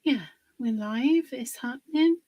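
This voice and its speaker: A woman, slightly hoarse from a cold, chatting casually on a livestream while she works on a craft project, pausing with fillers. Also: high-pitched, dry recording, highs cut off. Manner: chatty, rambling, pauses with fillers while working.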